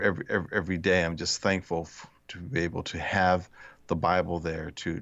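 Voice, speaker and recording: Deep gravelly man thinking out loud, dull dry audio. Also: no room sound. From Philadelphia area, United States. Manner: reflective, hesitant, many restarts. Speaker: interview guest, author. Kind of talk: casual interview chat.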